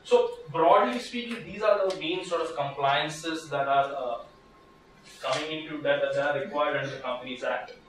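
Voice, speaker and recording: A man's voice: a little high, moderate pace, a bit bright. A man in his thirties with an Indian English accent, giving a conversational legal workshop talk with many restarts and fillers. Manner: conversational, lots of restarts and fillers, gestures while standing. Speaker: workshop speaker, lawyer. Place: small seminar room with projector. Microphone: far camera, no mic. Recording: echoey room from far off, background noise.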